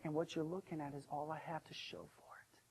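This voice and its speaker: A man's voice: a little high, fairly even intonation, measured pace, creaky, slight background noise, pitched below the performer's own voice, low-quality recording. A man playing a humbled young prodigal son in a storytelling sermon, speaking in a subdued, hesitant and earnest way. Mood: confessing.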